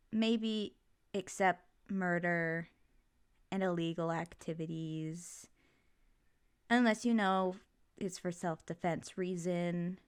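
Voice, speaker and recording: A woman playing a supportive, doting mother in an ASMR roleplay, talking in a gentle, reassuring, slightly rambling way. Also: fairly low pitch, smooth. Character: supportive, doting, chatty mother comforting her child. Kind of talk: ASMR roleplay, intimate one-sided conversation.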